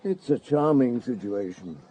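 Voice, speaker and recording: An actor playing a shrewd, authoritative police superintendent in a radio drama, blunt but genial, with a measured, probing manner. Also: male voice, medium pitch, expressive, mellow, husky. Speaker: detective.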